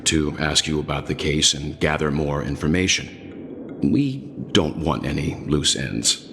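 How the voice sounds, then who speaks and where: An actor playing a calm, courteous, measured investigator in a video game, speaking formally. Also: male voice, a bass voice, noisy background, heavily acted. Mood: politely probing.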